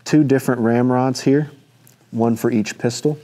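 A young man presenting and describing a pair of antique pistols in a measured, detailed way, seated at a table in an indoor studio set. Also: mid-range voice, steady tone, warm tone. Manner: measured, detailed describing while handling objects. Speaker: firearms presenter. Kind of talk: informal product walkthrough.

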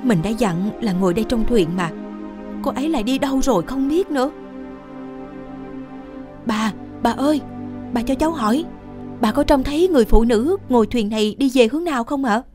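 A woman's voice: a little high, moderate pace, pauses often, voice pushed up, close to an everyday voice. A woman acts out a poor, devoted young husband in a cartoon fairy tale. He pleads earnestly in a raised, anguished voice. Faint music sits behind. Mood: worried, searching.

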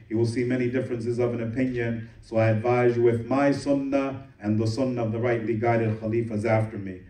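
A man preaches a Friday sermon at a quick clip, his low, mellow voice staying fairly flat. He rattles off Arabic verses and then explains them in English. The sound is roomy and heard from some distance in a mosque hall. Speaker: imam giving Friday sermon. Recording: echoey room, voice from a distance.

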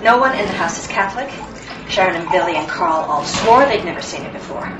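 A woman's voice: on the low side, animated, a little fast, background noise, natural voice. A woman acting in a radio play as a distressed, frank and wry movie-star mother, confiding and hesitant. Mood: wry, troubled.

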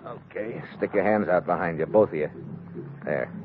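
An actor playing a tough, wary American secret agent in an old-time radio adventure, terse and hard-boiled. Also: male voice, sits low, moderate pace, background noise, close to an everyday voice. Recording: muffled vintage radio recording with music.

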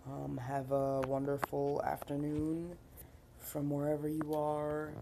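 A man's voice: a little high, completely flat delivery, slow, creaky, dark tone, a little hiss or hum. A young man talking casually to his webcam on a porch, rambling with many fillers and restarts. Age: young adult. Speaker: vlogger. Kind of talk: casual rambling vlog update. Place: porch outdoors, seated on wicker chair.

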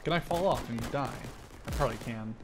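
A man with a mid-pitched voice talks casually as he plays a shooter, with gunfire clearly behind him. He rambles, repeats himself and swears freely, as if talking to a friend on the team. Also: fairly quick, background noise. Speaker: video game player. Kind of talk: casual gaming chat with a teammate. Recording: loud gunfire and explosions behind voice. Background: video game gunfire and artillery.

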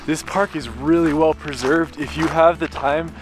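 A man in his thirties chats into a handheld camera with a somewhat high, upbeat voice, often laughing as he goes. Recorded outside at arm's length, with faint music tucked beneath. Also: steady tone, normal speed, poor audio. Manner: upbeat, chatty, laughs while talking. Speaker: travel vlogger on camera. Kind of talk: casual on-the-spot vlog talk. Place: outdoors on cold mining site and hotel interior. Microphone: camera held at arm's length.

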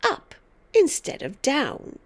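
A woman's voice: high voice, huge pitch swings, slow pace, fairly bright tone, pitched above the performer's own voice, exaggerated voice. A woman voicing a tiny, bossy, mean old grandmother who scolds and snaps with gloating emphasis in a storybook reading. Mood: snappish, scolding.

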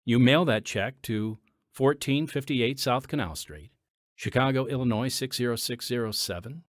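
A man hosting a radio program, reading a scripted donation appeal in an emphatic, measured announcer style. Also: mid-range voice, dry recording, clean studio sound. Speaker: program host.

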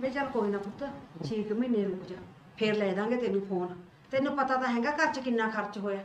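A woman playing a middle-aged mother who bosses her household and scolds loudly in a comic family skit. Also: on the high side, a little fast, natural voice. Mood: coaxing.

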